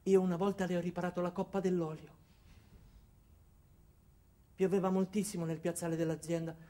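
An actor playing an earnest, insecure office man who narrates his own story in a deadpan, hesitant way in a comic radio drama. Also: high-pitched, brisk, natural voice.

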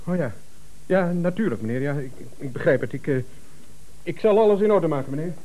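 An actor playing a timid, jealous office employee in a radio comedy, hesitant, stammering and deferential. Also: male voice, on the high side, expressive, a little fast, mellow, natural voice, poor audio. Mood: nervous, deferential. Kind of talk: 1970s Dutch radio comedy acting.

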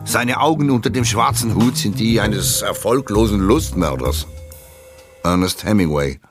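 A low-voiced man recites literary insults in a measured, biting way, like a scripted reading. Faint music plays behind him. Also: expressive. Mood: dry scorn. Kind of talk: scripted literary recitation, quote then author's name.

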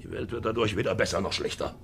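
An ageing ship's captain in a 1950s radio drama. He is haunted and hard, with a creaky voice that ranges widely from brooding mutters to harsh commands. Faint music sits behind the old recording. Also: fairly low pitch, animated, fast talker. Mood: bitter, self-justifying. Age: older.

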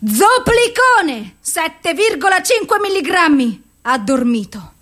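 A high voiced clinical woman reading out a psych case file, clipped lists, radio play. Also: very animated, takes it slowly, a bit bright, heavily acted. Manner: clipped, list-like recital of symptoms and doses. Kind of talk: theatrical radio drama monologue.

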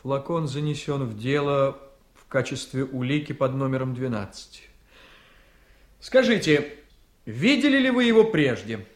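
A man's voice: medium pitch, expressive, unhurried, lightly acted. A man acting an assertive district prosecutor in a radio play, formal and probing. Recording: echoey room.

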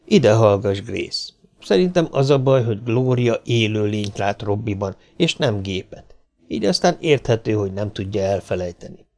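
A man's voice: on the low side, expressive, darkish tone. Man playing a confident, self-satisfied husband. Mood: smug, persuasive. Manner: confident, explanatory.

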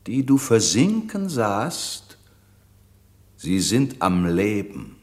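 An actor playing a grave, commanding magician and father in a radio play, with a solemn, measured, theatrical manner. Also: fairly low pitch, sing-song, darkish tone, breathy edge, exaggerated voice. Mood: solemn, authoritative. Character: grave, commanding magician father soothing his young daughter. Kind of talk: classic German radio play acting. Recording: long reverberant hall, noisy background.

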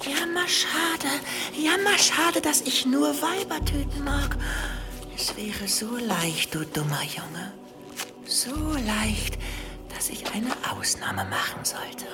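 A man's voice: extremely high pitch, sing-song, music in the background, full character voice. An actor in a German audio drama playing a deranged, murderous man who hears voices, taunting and slipping into whispered menace. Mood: menacing mock regret. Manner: mocking, whispering menace, drawn-out words.

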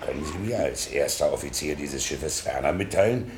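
Bullying sea captain, very deep voice, sarcastic, noise behind. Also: male voice, lively intonation, moderate pace. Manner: sarcastic, commanding. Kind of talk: 1970s radio play acting.

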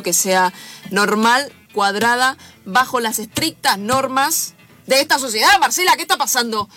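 A woman's voice: fairly high pitch, fairly bright tone. A woman playing a formal, judgmental job recruiter in an improvised radio play. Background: music.

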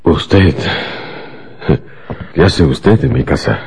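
An actor playing a gruff, wary, heavyset shop owner in a radio drama. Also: male voice, rumbling low, extremely slow, a little mellow, heavily acted. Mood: suspicious, tense.

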